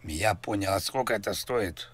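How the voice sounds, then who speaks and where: A man making a rambling prank call, mock-serious and crude, with a husky voice. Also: fairly low pitch, moderate pace, a bit bright. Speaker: prank caller.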